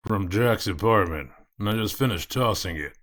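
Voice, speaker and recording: A man playing a gruff, sardonic detective with a dry, evasive manner in a comic dub. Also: lowish voice, animated, normal speed, fairly bright tone. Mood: dry, grumbling. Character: gruff, sardonic male detective of exiled fables. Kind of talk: comic dub character acting.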